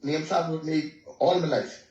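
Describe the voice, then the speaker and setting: A man's voice: a little high, steady tone, brisk, darkish tone, muffled recording. A man with a Bahamian accent, a reggae artist, talking in a laid-back way and saying "you know" all the time. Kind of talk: casual interview over a call. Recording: echoey, distant from the mic.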